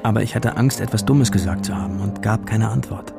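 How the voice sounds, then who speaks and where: An actor playing a lovestruck young male student who tells his story in hushed, hesitant literary narration for a radio play. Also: fairly low pitch, fairly quick, gravelly. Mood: hesitant, yearning. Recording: radio drama production with music behind.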